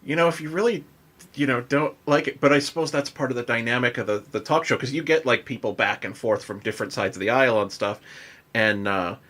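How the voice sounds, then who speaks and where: A man's voice: mid-range voice, fairly quick, a bit bright. A man hosting a podcast, chatting casually in a loose, informal way peppered with "like" and "you know". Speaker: podcast host. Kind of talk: casual chat.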